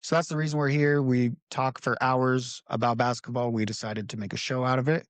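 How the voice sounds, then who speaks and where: A man hosting a casual basketball podcast, talking in a relaxed, conversational way and leading the discussion. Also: medium pitch, a little fast, dry recording. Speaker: podcast host. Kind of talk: casual sports podcast talk. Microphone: home recording setup.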